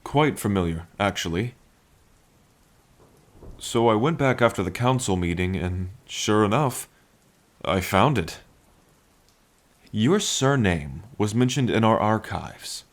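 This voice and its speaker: A man's voice: fairly low pitch, unhurried, long pauses, lightly acted. A man playing a playful, lovestruck dragon king in an intimate ASMR roleplay, with a languid, teasing and tender manner. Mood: earnest, reflective. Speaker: roleplay voice performer. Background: rain.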